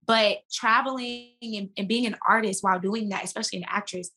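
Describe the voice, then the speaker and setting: A woman speaking as an actress guest in a casual interview, emphatic and animated as she tells stories. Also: mid-range voice, brisk.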